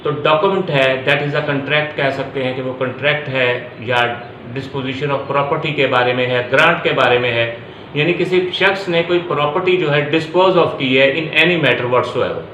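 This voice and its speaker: A man's voice: medium pitch, unhurried, sharp tone, lo-fi sound. A man giving an explanatory law lecture, going step by step and repeating key points as he teaches. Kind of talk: explanatory lecture mixing Urdu and English. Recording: echoey room, faint background noise.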